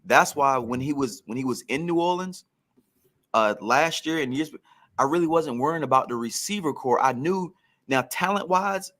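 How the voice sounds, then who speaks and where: A man's voice: medium pitch, expressive, moderate pace, fairly bright tone. A man, a former pro football receiver, telling career stories on a podcast in a reflective, emphatic way.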